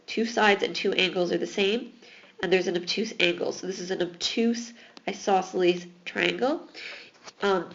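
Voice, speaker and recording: A woman's voice: mid-range voice, gravelly, fairly bright tone, lo-fi sound. Patient teacher woman losing her voice. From Alberta, Canada. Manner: patient, explanatory. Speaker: math teacher. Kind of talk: recorded classroom lesson.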